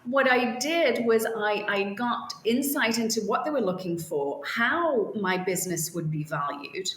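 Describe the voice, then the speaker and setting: An older woman from Liverpool with a softened English accent, a business owner talking through her career in an interview, measured and thoughtful. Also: on the high side, animated, a little hiss or hum. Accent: softened English, Liverpool roots. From Liverpool, England. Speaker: optical store owner, guest. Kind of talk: remote interview conversation. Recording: roomy echo.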